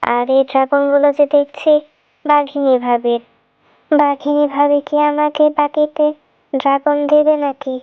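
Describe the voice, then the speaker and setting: A woman voicing a humble, gentle widowed mother fox in a children's cartoon story. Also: sits high, level tone, a little slow, dry recording, phone-quality sound, soft background music. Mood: explaining.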